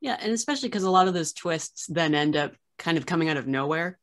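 A woman's voice: low voice, expressive, fast. A woman, chatting casually as an interviewer on a video call. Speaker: interviewer, archivist. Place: room at home, indoors, video call.

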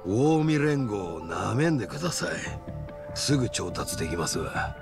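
A man's voice: lowish voice, big pitch swings, moderate pace, creaky, over music. A man playing a calculating, menacing yakuza in a video game, with a Kansai accent and polite speech that carries blunt threats. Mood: confident. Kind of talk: dramatic video game cutscene acting.

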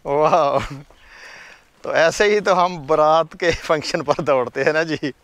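A fairly high-voiced man talks viewers through his farm out in the open fields. He chats easily, points things out as he walks, and speaks straight into a handheld camera mic. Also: takes it slowly, fairly bright tone, smooth, no room sound. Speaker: farm vlogger. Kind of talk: casual farm tour narration. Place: outdoors on a farm among fields.

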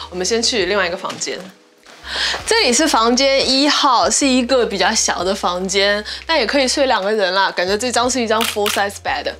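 A woman's voice: on the high side, fairly bright tone, a little hiss or hum. A young woman giving a chatty, upbeat tour of a rental house and its backyard cabins, slipping English words into her talk. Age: young adult. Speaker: vlogger. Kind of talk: casual house-tour vlog. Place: rooms of a house and a wooded backyard.

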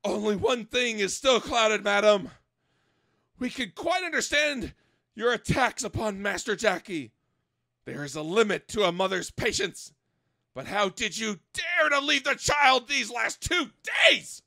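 Man acting manic gentleman detective, drug-craving, bombastic, bright forceful edge, gravelly creak, comic storybook reading. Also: high voice, lively intonation, a little slow, dry recording, full character voice. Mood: haughty, theatrical. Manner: theatrical, bombastic, swings into shouting.

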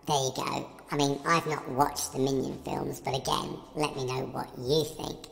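Guy with a filter as a little cartoon minion thing, flat casual talk, loads of reverb. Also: mid-range voice, normal speed, vocal fry, pitched above the performer's own voice. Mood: offhand. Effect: minion voice-changer filter. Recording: processed, echoey with long reverb.

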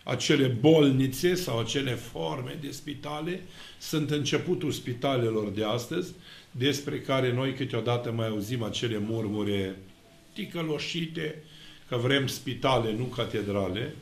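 A middle-aged man from Romania, a priest, giving an emphatic sermon with slow, stressed words. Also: medium pitch, gravelly. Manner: emphatic preaching with slow, stressed words and lively hand gestures. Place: church with icons, indoors. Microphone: fixed camera, mid shot. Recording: echoey church room.

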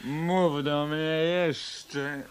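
An actor playing an arrogant, defiant jazz bandleader in a radio drama, pompous and full of contempt. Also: male voice, on the high side, fairly even intonation, extremely slow, smooth. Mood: haughty, scornful. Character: arrogant, defiant star jazz bandleader, insolent and drunk with himself.

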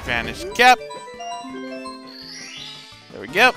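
A man's voice: mid-range voice, sing-song, slow. A man giving casual, playful let's play commentary, often talking to the characters in his video game. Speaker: gaming video host. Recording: game music behind the voice. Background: video game music.

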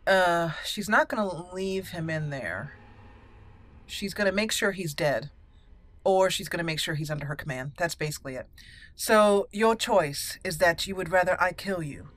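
A woman hosting a roleplay let's play, musing deliberately and slipping into her character's dialogue. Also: lowish voice, fairly bright tone. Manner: musing, deliberate, thinking aloud. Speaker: gaming channel host roleplaying a character.

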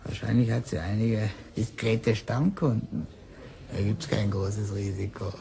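An actor playing a worn-out, jittery drug addict in a German radio crime drama. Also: male voice, fairly low pitch, flat delivery, a little slow, gravelly, mellow. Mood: confiding. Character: worn-out, jittery heroin addict, evasive and frank.

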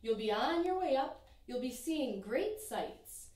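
Slow, expressive woman reading a picture book. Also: high-pitched, recorded from a distance. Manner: expressive, sing-song rhyming delivery. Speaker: teacher reading aloud. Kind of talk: children's picture book read-aloud. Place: small room in front of a painted backdrop. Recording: echoey room, voice from off-mic.

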